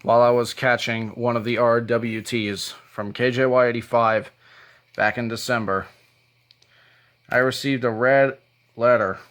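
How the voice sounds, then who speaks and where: A man talking in a deliberate, sentimental way, as in a casual show-and-tell video, sometimes reading aloud. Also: medium pitch, fairly even intonation, a bit bright. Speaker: YouTube video creator.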